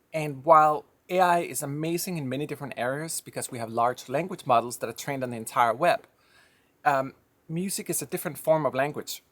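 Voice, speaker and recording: A man's voice: on the high side, a bit bright, no echo. A man from Denmark with a slight Danish accent, giving a reflective, conversational monologue with firm opinions. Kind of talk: personal monologue.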